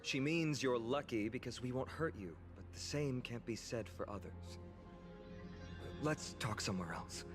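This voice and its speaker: An actor playing a cautious, earnest young male warrior in a video game, speaking in a polite, measured way. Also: mid-range voice, lightly acted. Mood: earnest, calm. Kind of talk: scripted video game character acting. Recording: game music behind the voice. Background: game music.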